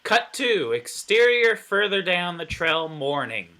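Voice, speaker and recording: A man reads screenplay narration in a very bright, forceful voice, pitched a little high. He sounds like he is at an informal read-through, stumbling now and then. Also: sing-song, slow pace, low-quality recording. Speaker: table reader of script narration and dialogue. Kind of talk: scripted narration read aloud.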